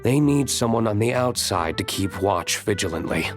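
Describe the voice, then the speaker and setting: A man's voice: lowish voice, expressive, moderate pace, faint music behind, lightly acted. A man playing a reserved, stern young tavern owner in an anime video game, dry and serious. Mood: guarded.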